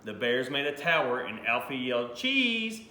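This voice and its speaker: A man reading a rhyming children's storybook aloud in an expressive, animated way. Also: mid-range voice, fairly quick. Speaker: high school principal reading a picture book aloud. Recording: roomy echo, faint background noise.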